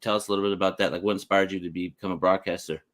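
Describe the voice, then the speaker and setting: A man's voice: deep voice, flat delivery, very fast, a bit bright. A man hosting a casual sports podcast interview in informal, slangy talk. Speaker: podcast host.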